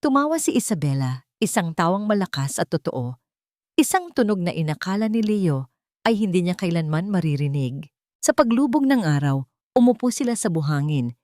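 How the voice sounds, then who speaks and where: A woman's voice: on the low side, very animated, moderate pace, a little mellow, dry recording, studio quality. A woman reading a scripted romantic drama story as its narrator. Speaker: narrator of audio romance stories.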